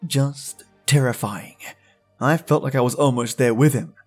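A man's voice: mid-range voice, measured pace, faint music behind. A man hosting a podcast, talking to his listeners in conversational commentary. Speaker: podcast host.

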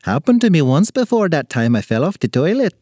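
A man's voice: fairly high pitch, sing-song, brisk, darkish tone, dry recording, studio quality, pitched above the performer's own voice. A man voicing a little forgetful, feisty leprechaun with an Irish accent in a storybook tale. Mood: dazed, confused. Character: little red-bearded male leprechaun, forgetful, feisty and chatty.

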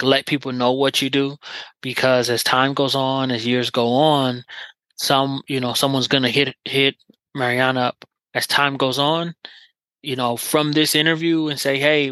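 A male podcast host with a bright, forward tone and a level, unvarying delivery. He talks earnestly and encouragingly, looping through fillers and restarts. The sound is close and dry. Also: mid-range voice, clear, resonant tone, dry recording, low-quality recording. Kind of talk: casual interview chat.

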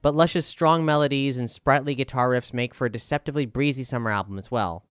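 A man narrates a written music review in a smooth, clear voice at a middling pitch. The recording is dry and close, with music playing behind him. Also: fast talker, no echo.

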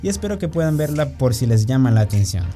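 Salvadoran male radio co-host, very warm dark tone, faint music bed. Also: medium pitch, very animated, fast talker. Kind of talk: scripted radio segment.

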